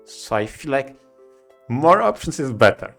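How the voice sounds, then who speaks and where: Bright-voiced man, podcaster. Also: mid-range voice, sing-song, unhurried, vocal fry, faint music behind. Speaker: podcast co-host. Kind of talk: casual podcast discussion of video games.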